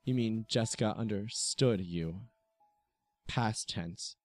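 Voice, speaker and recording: A man's voice: fairly low pitch, takes it slowly, a little mellow, no room sound, soft background music. An actor playing an earnest, blunt male confidant who presses a friend, in a naturalistic web drama.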